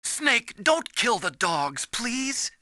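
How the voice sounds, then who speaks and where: Casting: timid, kindly young scientist in a video game. Sharp, lively, dry close recording, earnest delivery. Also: male voice, very high-pitched, drawn-out words, no echo, exaggerated voice. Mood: pleading. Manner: earnest, anxious, explanatory. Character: earnest, timid young male scientist, soft-hearted and nerdy.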